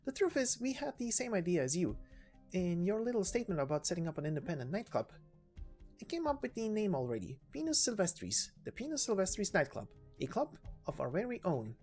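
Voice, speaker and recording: A chatty young woman knight from a game story, acted by a woman, informal and kind-hearted. Her pitch swoops about as she talks, with music underneath. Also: very animated, fairly quick, darkish tone. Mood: earnest, inviting.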